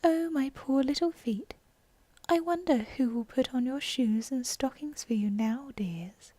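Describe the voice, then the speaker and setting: A woman's voice: high voice, a little slow, mellow, dry recording, voice pushed up. A woman voicing a curious, dreamy young girl from a storybook, talking to herself in exclamations and musings. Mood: amazed. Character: curious, dreamy, self-scolding young girl, prone to chatter.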